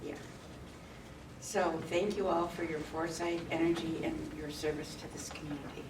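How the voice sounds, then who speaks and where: A woman reading a list of names at a community meeting in a slow, halting way, stopping to add short remarks. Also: sits low, fairly even intonation, distant mic. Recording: echoey room audio recorded from afar, with noise.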